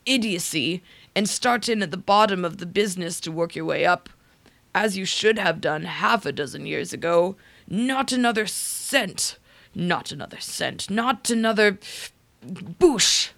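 A woman playing an irascible, blustering old rich uncle with a creaky voice in a comic radio drama. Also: lowish voice, fairly bright tone. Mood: outraged, scornful. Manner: emphatic, halting repetitions when indignant.

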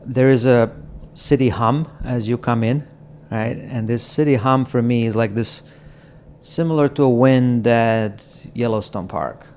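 Slow, reflective man from Croatia. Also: mid-range voice, a little hiss or hum. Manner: slow, halting, with frequent pauses and soft laughs. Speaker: podcast guest. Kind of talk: reflective conversation. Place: apartment in a city.

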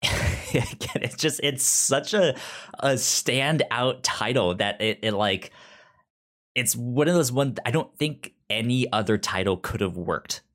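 Young man, podcast co-host, heavy stutter, slow, unhurried delivery. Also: mid-range voice, expressive, fairly bright tone. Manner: heavy stutter with many repeated word starts. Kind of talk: casual review chat.

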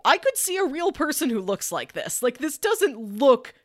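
A woman's voice: sits high, sing-song, normal speed, dry recording, clean studio sound. A woman in a casual podcast review chat, tossing in asides and imagined quotes. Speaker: podcast host reviewing anime.

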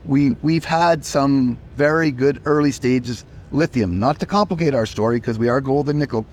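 A man's voice: medium pitch, normal speed, darkish tone, a little hiss or hum. Emphatic man losing his voice. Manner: emphatic, repeats words when starting. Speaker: company chief executive. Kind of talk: interview answers, pitching.

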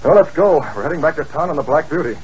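A man's voice: fairly high pitch, animated, rapid-fire, a little mellow, lo-fi sound, a little hiss or hum, close to an everyday voice. A man playing a masked crime-fighter posing as a racketeer in a 1940s radio play, speaking in a curt, commanding manner. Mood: calm commanding.

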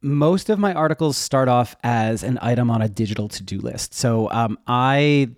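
A man's voice: mid-range voice, moderate pace, no echo, clean studio sound. A man giving casual interview answers in an informal, rambling way, often interrupting himself. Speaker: interview guest, web developer and newsletter writer.

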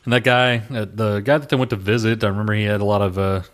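A man's voice: on the low side, steady tone, fast. A man co-hosting a podcast, chatting casually and adding his own comments. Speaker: podcast co-host. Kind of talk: casual chat.

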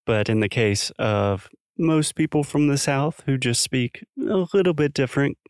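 A man's voice: medium pitch, normal speed, darkish tone, clear, resonant tone, no echo, studio quality. A man from South Carolina co-hosting a podcast, talking thoughtfully and explaining with examples in a casual chat. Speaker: podcast co-host.

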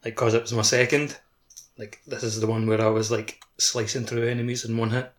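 A man in a casual video game podcast chat, speaking haltingly with lots of filler "like". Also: medium pitch, little pitch movement. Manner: halting, peppered with "like". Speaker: podcast co-host.

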